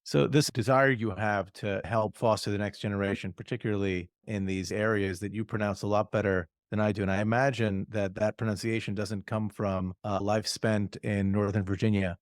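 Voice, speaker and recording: A man's voice: fairly low pitch, fairly even intonation, normal speed, hardly any pauses, dry recording, studio quality. A man co-hosting a podcast, asking questions with a playful, joking manner. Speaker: podcast co-host. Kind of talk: podcast interview.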